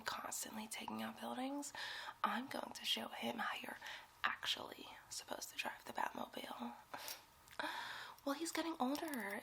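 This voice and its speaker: A woman playing a young, giddy, boastful superhero sidekick who schemes and gossips in a breathy whispered ASMR role play. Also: on the high side, sharp tone, heavily acted. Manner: conspiratorial, gossipy, rambling.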